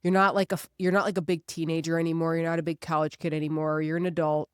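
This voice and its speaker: A woman in her thirties with a clean, smooth voice and a dry, close sound. She talks as a podcast co-host, casual and reflective, stressing her points earnestly. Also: deep voice, level tone, quick pace, no room sound. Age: 30s.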